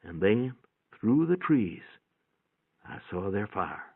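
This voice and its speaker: Through a narrow, phone-like recording with very little room sound comes a man reading fiction aloud. His voice sits on the low side, and he narrates in the first person with a folksy, matter-of-fact delivery. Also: big pitch swings, takes it slowly, vocal fry, husky, no room sound.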